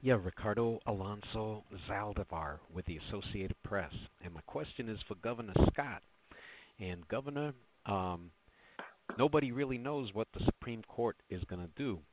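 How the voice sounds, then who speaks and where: A man's voice: lowish voice, animated, moderate pace. A man working as a journalist, putting a press question over the telephone. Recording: noisy, muffled telephone line with clicks.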